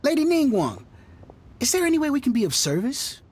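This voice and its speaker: A woman's voice: high voice, sing-song, brisk, a little mellow, slight background noise. A woman playing a keen, sly merchant in a video game, polite and coy in delivery. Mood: eager, sly. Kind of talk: scripted video game dialogue. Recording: faint music behind the voice.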